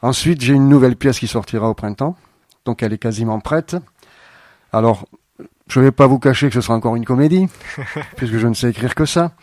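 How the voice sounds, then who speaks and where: A man guesting on a radio show, answering thoughtfully with frequent pauses and fillers. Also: medium pitch, a little mellow, dry recording. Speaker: playwright guest. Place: radio studio.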